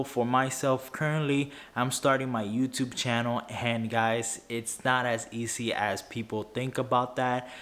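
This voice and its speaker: A man's voice: medium pitch, a bit bright. Earnest Spanish-speaking man, stumbling delivery. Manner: earnest, stumbling with many restarts. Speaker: YouTuber. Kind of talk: unscripted finance advice to camera.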